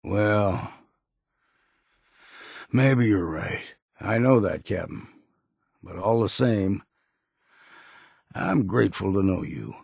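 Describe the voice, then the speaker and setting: A weathered old hunter speaks with a deeply creaky, raspy voice. He is blunt, proud and weary, a game character with a folksy, plain-spoken drawl. The sound is very dry, with no room around him. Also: animated, slow, long pauses, a little mellow, no echo, exaggerated voice. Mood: earnest. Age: older. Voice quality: gravelly, husky. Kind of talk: video game character dialogue.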